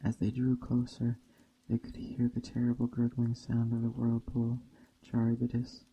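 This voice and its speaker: A man reading a myth aloud as a storyteller, measured, with the odd stumble over the text. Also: medium pitch, monotone, dark tone, husky, rough recording.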